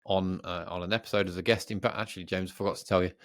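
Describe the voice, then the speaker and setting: Low-voiced man, jokey podcast banter. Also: very fast, dry recording, studio quality. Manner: jokey, teasing, animated. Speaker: podcast co-host. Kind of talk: casual banter between co-hosts.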